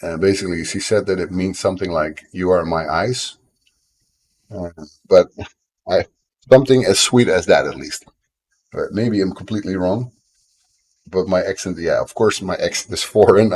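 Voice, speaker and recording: A Dutch man with a deep, low voice talks casually into a desk mic at home. He rambles and runs himself down, fills gaps with ums and restarts, and coughs now and then. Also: a little mellow. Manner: rambling, self-deprecating, frequent fillers and restarts. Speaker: game developer streamer. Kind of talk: casual live-stream chat.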